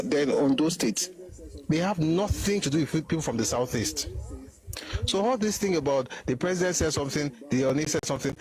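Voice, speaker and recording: A man's voice: on the high side, a little mellow, low-quality recording. A middle-aged man with a Nigerian Yoruba accent giving an opinionated commentary monologue, emphatic and full of asides.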